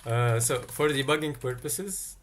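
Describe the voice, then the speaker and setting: A man's voice: medium pitch, a little slow. A man from Tunisia, teaching a live-streamed coding lesson. He thinks aloud and answers chat questions as they come in. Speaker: livestream tech teacher.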